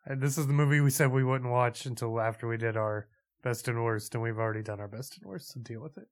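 A man's voice: medium pitch, fairly even intonation, quick pace. A man co-hosting a casual movie review podcast who talks bluntly and teases his co-host with crude jokes.

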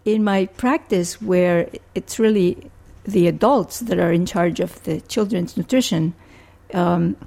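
An older woman from Rico, a family physician answering interview questions in a measured, thoughtful way. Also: on the low side, vocal fry, warm tone. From Puerto Rico. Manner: measured, thoughtful, with frequent restarts.

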